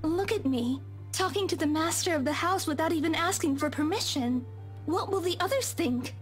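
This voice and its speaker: Visual novel maid: high-pitched, humble, hesitant, quaintly formal, faint music underneath. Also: female voice, normal speed. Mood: flustered, apologetic. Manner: formal, old-fashioned, hesitant. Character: meek, deferential young maid of a mansion. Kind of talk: visual novel game voice acting.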